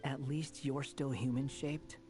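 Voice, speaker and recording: A man's voice: mid-range voice, fairly even intonation, measured pace, over music, close to an everyday voice. A man voicing a dry, practical young wanderer in an anime video game, speaking in a matter-of-fact way.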